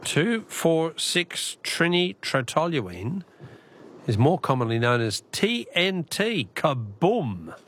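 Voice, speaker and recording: A man's voice: on the high side, sing-song, takes it slowly, a bit bright. An Australian man hosting a live phone-in radio quiz, chatting with callers in a jokey, bantering way.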